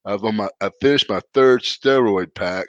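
A man's voice: lowish voice, normal speed, fairly bright tone, no echo, highs cut off. A congested, stuffed-up man chatting casually on a podcast as co-host, rambling and self-mocking with many restarts.

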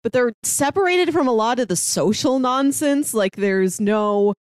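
Animated woman podcast co-host, dry close sound. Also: fairly high pitch, unhurried, no echo. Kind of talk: casual discussion of a TV show.

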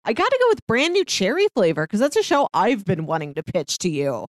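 A woman co-hosts a podcast in casual conversation. Her voice sits at a medium pitch, recorded dry with very little room sound. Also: huge pitch swings, brisk, no echo. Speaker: podcast co-host.

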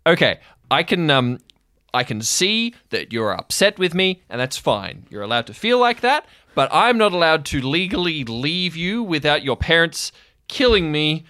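A man's voice: fairly high pitch, big pitch swings, normal speed, pushed and bright, clear, resonant tone, no echo, clean studio sound, voice pushed up. A man playing an anxious, well-meaning adult counselor in an improvised audio drama, talking in hesitant, earnest, placating therapist-speak. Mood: anxious, apologetic.

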